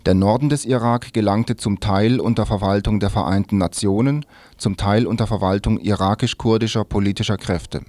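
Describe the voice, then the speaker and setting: A man's voice: fairly low pitch, steady tone, normal speed, darkish tone, no echo. A man reading scripted news as a radio presenter.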